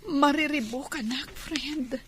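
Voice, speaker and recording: A woman's voice: high voice, slow, a bit bright, a little hiss or hum. A woman playing a troubled, heartbroken young woman in an Ilocano radio drama.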